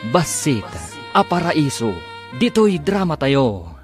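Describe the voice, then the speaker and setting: A man's voice: a little high, sing-song, takes it slowly, faint music behind. A man narrating a scripted recap as the announcer of a radio drama.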